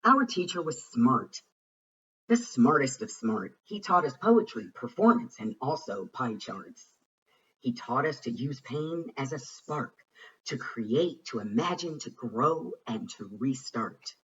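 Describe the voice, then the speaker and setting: Husky, expressive man reading slowly. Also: mid-range voice, dull audio. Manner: rhythmic, expressive, deliberate delivery. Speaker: reader of a children's picture book. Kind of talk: rhyming storybook narration, read aloud.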